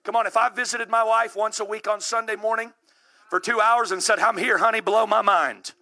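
A man in his thirties preaching a sermon with rising passion, calling out to the congregation and building to shouts. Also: sits high, steady tone, fairly quick. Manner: calls out to the congregation.

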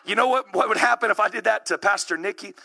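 A man in his thirties preaching a sermon with rising passion, calling out to the congregation and building to shouts. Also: high voice, fast, gravelly. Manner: calls out to the congregation.

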